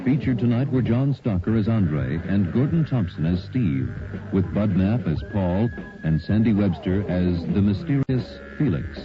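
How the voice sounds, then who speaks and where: Music plays behind a man announcing credits on a dull old radio broadcast. His voice is low and formal, with measured projection. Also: animated.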